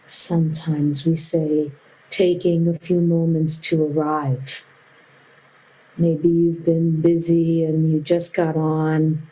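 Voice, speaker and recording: Slow, low-voiced woman guiding meditation. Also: flat delivery, rough recording. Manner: gentle, unhurried, with long pauses. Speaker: meditation teacher. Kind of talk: guided meditation.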